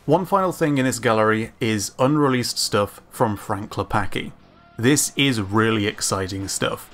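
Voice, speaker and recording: A man's voice: on the low side, normal speed, a bit bright. Man narrating analysis over background music. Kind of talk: scripted analytical commentary.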